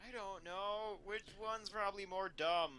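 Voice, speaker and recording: Male co-host, forward bright voice a bit above average pitch, casual banter, dry and sarcastic. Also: fairly even intonation, a little slow. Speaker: co-host of a fan fiction reading show. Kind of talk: casual banter and mocking read-aloud.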